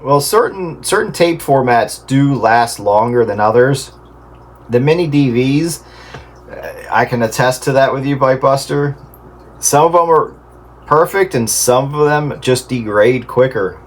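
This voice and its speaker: A middle-aged man in casual radio chat, explaining things in a halting way. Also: medium pitch. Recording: clear steady background noise.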